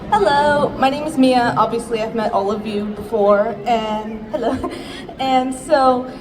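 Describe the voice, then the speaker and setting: A woman's voice: on the high side, unhurried, distant mic, a crowd in the background, noisy background. A young woman fan asking a question at a panel, friendly and giggly. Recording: crowded convention hall.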